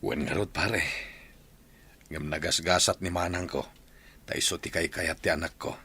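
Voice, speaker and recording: Low-voiced, excitable village father. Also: moderate pace, slightly rough, heavily acted. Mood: regretful. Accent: Filipino. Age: middle-aged. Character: excitable, remorseful village father eager for grandchildren. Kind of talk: radio drama acting.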